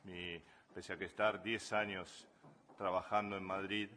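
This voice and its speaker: A man from Buenos Aires with an Argentine Spanish accent, giving an academic conference talk in a measured way with frequent hesitations. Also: sits low, level tone, pushed and bright, slight background noise. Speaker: conference speaker. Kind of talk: academic lecture.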